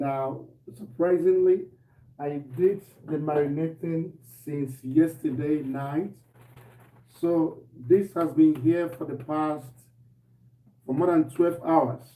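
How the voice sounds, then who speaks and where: A man hosting a live cooking stream from his kitchen, talking casually to viewers with a slightly hoarse voice and repeating himself as he goes. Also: fairly high pitch, recorded from a distance. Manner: relaxed, repeats himself, greets viewers by name. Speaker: cooking show host. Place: home kitchen. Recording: echoey room, voice off-mic, faint noise.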